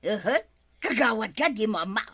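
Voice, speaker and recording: A woman playing a quarrelsome, hot-tempered village woman with an Ilocano accent in a broadcast comedy drama, given to scolding and drawn-out exclamations. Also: mid-range voice, lively intonation, a bit bright, breathy edge. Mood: sulky, subdued.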